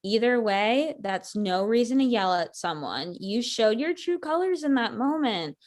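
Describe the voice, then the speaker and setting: A woman's voice: a little high, big pitch swings, measured pace. A woman acting a blunt, sarcastic ex-girlfriend in her thirties in a conversational comedy script reading. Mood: indignant.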